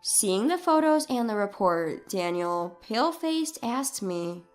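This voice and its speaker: A woman's voice: medium pitch, very animated, takes it slowly, soft background music. A woman reading a scripted first-person dramatic story expressively and with care, as its narrator.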